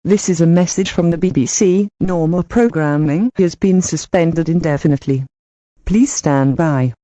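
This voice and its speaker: A woman acting as a newsreader in a fictional emergency broadcast, delivering bulletins in a flat, read-aloud way. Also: lowish voice, normal speed. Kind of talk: scripted amateur audio drama.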